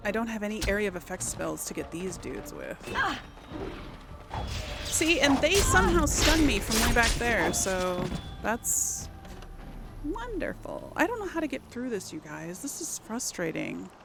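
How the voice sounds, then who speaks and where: A woman's voice: medium pitch, sing-song, moderate pace, creaky, over music. A woman giving casual let's play commentary as host of a gaming series, chatty and thinking aloud as she plays. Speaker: host of a role-play video game series.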